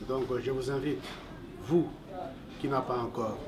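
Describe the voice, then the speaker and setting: A man from Belgium giving a slow, hesitant testimony, full of pauses. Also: mid-range voice, steady tone, a crowd in the background. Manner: slow, hesitant, many fillers. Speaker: visiting church member giving testimony. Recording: distant mic, background noise.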